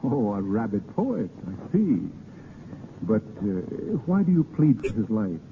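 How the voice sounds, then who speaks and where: An actor playing an ardent, gallant vagabond poet posing as a lord, with theatrical, hesitant pauses, in a 1930s radio drama. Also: male voice, lowish voice, big pitch swings, noisy background. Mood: wry, probing. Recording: muffled 1930s radio broadcast recording.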